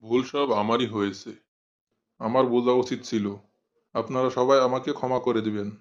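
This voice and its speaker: A man's voice: medium pitch, steady tone, moderate pace, close to an everyday voice. Guy doing a village council boss in a cartoon, authoritative but placating, kinda muffled audio. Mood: remorseful. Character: village council member, mild but easily offended man. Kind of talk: cartoon dialogue.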